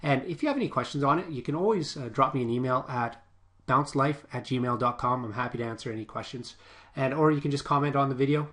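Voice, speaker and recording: A man's voice: mid-range voice, fast talker, a bit bright. Sniffly man giving fitness advice. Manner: chatty, often adds "okay?" to checks. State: stuffy, sniffly from a nasal infection. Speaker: personal trainer. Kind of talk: casual advice vlog.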